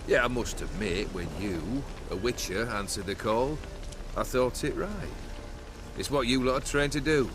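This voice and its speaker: A man's voice: medium pitch, moderate pace, noisy background, lightly acted. An actor playing a cold, haughty nobleman who leads bandits in a video game, mocking and formal in his phrasing. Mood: cold, contemptuous.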